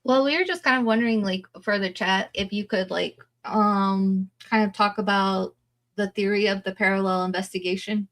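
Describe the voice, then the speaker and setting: A woman's voice: mid-range voice, fairly even intonation, normal speed, a bit bright. Woman host, friendly tentative questions, casual chat. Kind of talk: casual discussion.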